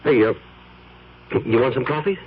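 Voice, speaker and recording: A nervous, evasive husband, played by an actor, stammers through a 1970s radio drama with a lively, shifting tone. The recording is muffled, with faint hiss behind. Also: male voice, mid-range voice, normal speed, natural voice. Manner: hesitant, stammering. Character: evasive nervous married man, adult.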